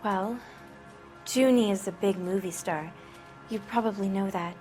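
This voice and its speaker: A woman's voice: medium pitch, measured pace, a little mellow, faint music behind, natural voice. An actress voicing a naive, frightened teenage girl who speaks in a timid, pleading way.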